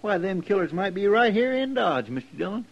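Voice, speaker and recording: An actor playing an eager, talkative frontier deputy with a folksy twang and rural grammar, in a 1950s radio drama. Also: male voice, high voice, very animated, brisk, creaky, exaggerated voice. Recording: dull vintage radio transcription.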